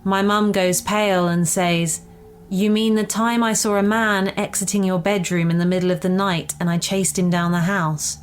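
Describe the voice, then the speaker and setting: A woman with a medium-pitched voice reads a first-person account as scripted narration. She sounds conversational, and there is a faint noise in the recording. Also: steady tone, normal speed. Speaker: story reader.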